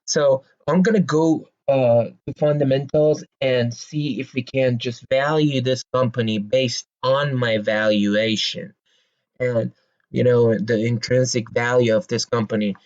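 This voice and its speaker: A man's voice: mid-range voice, fairly even intonation, unhurried, darkish tone, dull audio. Persian-accented man talking through stock analysis. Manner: hesitant, many fillers and restarts. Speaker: investing video host. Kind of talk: casual stock analysis talk.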